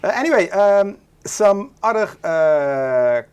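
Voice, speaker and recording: A man's voice: high voice, very animated, a little mellow, clear, resonant tone. Dutch-accented man hosting a stream. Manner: halting, many fillers. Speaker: stream host. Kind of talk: casual live stream chat.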